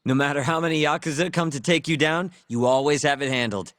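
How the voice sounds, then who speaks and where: A man's voice: on the high side, quick pace, fairly bright tone, dry recording, studio quality, close to an everyday voice. An actor playing a cool, self-assured young male hacker in a naturalistic video game scene. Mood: confident. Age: young adult.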